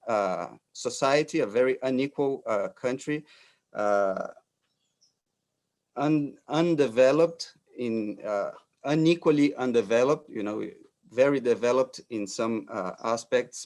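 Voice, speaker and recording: Slow, halting Brazilian man lecturing. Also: mid-range voice, darkish tone. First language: Portuguese. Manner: hesitant, many fillers and restarts. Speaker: architect giving a talk. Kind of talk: lecture presentation.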